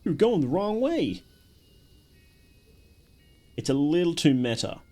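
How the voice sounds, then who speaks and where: A man's voice: on the high side, sing-song, slow pace, warm tone. A man giving casual live commentary as a streamer, with wry, joking asides. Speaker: streamer reacting to a horror game video. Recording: music playing behind the voice. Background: music.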